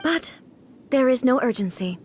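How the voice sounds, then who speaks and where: A woman playing a composed, detached, unworldly female ruler deity in a video game, speaking in a formal, deliberate way. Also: high voice, lively intonation, a little hiss or hum. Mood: measured.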